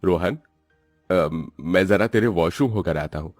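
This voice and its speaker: A man's voice: deep voice, unhurried, soft background music. A man voicing an easygoing married elder brother in a cartoon family story. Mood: friendly, casual. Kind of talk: cartoon story character dialogue.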